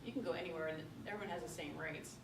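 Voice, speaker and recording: A woman's voice: low voice, very fast. An American woman speaking informally as a guest lender at a seminar, chatty and given to acting out quoted dialogue. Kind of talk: informal seminar talk and answers. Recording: far mic, echoey room, clear noise.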